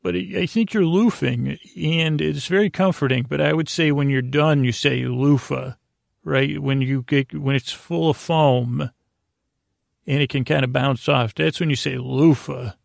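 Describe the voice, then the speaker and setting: Very creaky-voiced man, rambling softly. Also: fairly high pitch, steady tone, no echo, studio quality. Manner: meandering, halting, tangent-prone, self-interrupting; lulling, soothing, friendly. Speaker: podcast host. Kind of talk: rambling bedtime monologue to help listeners sleep.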